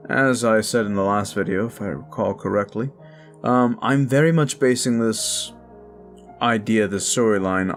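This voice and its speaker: A deep-voiced man speaks casually to his listeners at the end of an audio. He sounds upbeat and chatty, with faint music playing behind him. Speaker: audio roleplay creator. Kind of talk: casual outro chat.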